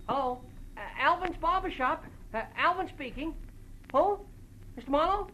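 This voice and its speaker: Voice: high voice, moderate pace, pushed and bright. An actor playing a chatty, fussy male barber in a 1940s radio drama, stammering, repeating himself and rambling. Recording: muffled, hissy old radio recording.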